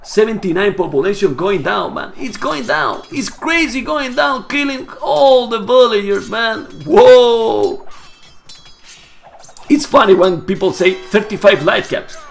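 A hoarse, Spanish-native man casting a live strategy game match, getting louder and repeating himself at big plays. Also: high voice, animated, measured pace, faint music behind. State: hoarse, losing his voice. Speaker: esports caster. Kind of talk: excited live game commentary.

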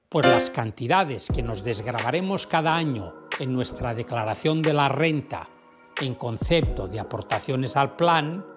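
Guy in his 50s doing a scripted money explainer, emphatic, studio mic, music underneath. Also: medium pitch. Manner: emphatic, measured delivery. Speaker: finance YouTuber. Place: dim studio room with blue light. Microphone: studio mic on stand.

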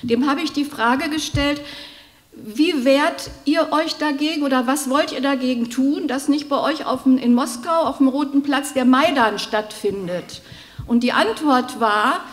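High-voiced earnest woman from the audience. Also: a little slow. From Germany. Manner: earnest, hesitant with fillers. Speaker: audience member. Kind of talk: audience comment. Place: large hall, standing in the audience. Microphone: stand microphone.

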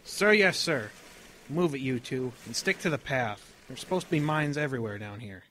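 Man acting gruff corporal, sharp bright voice, curt, impatient, radio drama, faint background noise. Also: medium pitch, expressive, normal speed, natural voice. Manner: curt, barking. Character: gruff, impatient young army corporal ordering children along. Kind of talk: audio drama.

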